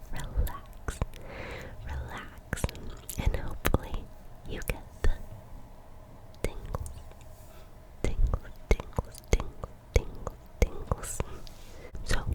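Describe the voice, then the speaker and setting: A woman in her twenties from Colombia, whispering a casual ASMR chat and softly repeating trigger words. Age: 20s. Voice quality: breathy unvoiced whisper. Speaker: ASMR creator.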